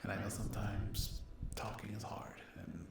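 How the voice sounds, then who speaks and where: A man chatting casually to his ASMR viewers in a soft voice that often drops to a whisper, hesitant and apologetic. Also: fairly low pitch, fairly even intonation, moderate pace, vocal fry. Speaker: ASMR roleplay video creator and host. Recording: roomy echo.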